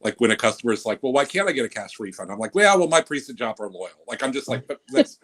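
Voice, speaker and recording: A man's voice: medium pitch, animated, fast, a bit bright. Campy man quoting film lines. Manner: campy, playful, quotes film lines with relish. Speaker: podcast co-host. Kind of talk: casual chat.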